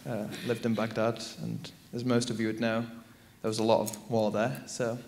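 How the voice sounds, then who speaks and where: A man's voice: mid-range voice, monotone, normal speed, darkish tone, a little hiss or hum. A teenage male student from Iraq, telling a story on a conference panel into a handheld mic. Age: teens. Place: large conference stage.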